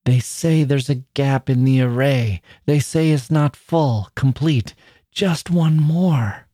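A man doing a frail dying man, soft and fervent. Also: medium pitch, measured pace, dry recording, clean studio sound, close to an everyday voice. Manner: soft, rapt and pleading.

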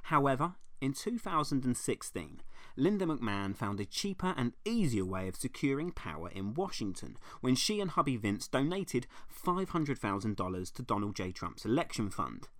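A man hosting a comedy podcast, reading a scripted segment in a wry, deadpan, sarcastic way. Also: medium pitch, normal speed, dry recording, clean studio sound. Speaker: podcast host.

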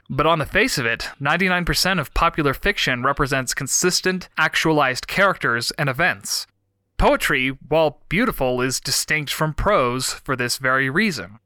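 Young male podcast host, stuffy with a cold, bright dry voice, measured and reflective. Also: a little high, no room sound. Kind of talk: reading a prepared talk on writing craft.

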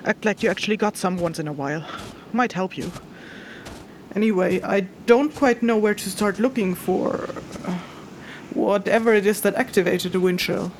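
A woman's voice: mid-range voice, moderate pace, a little mellow, slight background noise, close to an everyday voice. Woman as a wry, hesitant young spirit hunter. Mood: teasing. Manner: hesitant, thinking aloud, dry teasing. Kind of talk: first-person audio drama field log.

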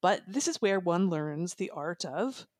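Low-voiced chatty woman, close dry sound. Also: animated, unhurried, no echo. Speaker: audiobook reviewer, podcast guest. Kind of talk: casual, chatty book discussion.

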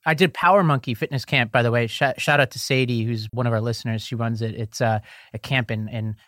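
A man's voice: mid-range voice, quick pace, no echo, studio quality. Chatty man co-hosting a podcast. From lives in New York. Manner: chatty, laughs often, animated. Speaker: podcast co-host. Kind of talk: casual chat.

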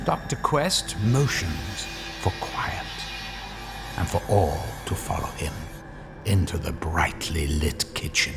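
Very deep, slow ghost-story narrator. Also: male voice, very animated, vocal fry, full character voice. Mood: ominous. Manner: measured, portentous. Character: grave, suspenseful male narrator of ghost story. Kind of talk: scripted radio drama narration. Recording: loud music behind the voice.

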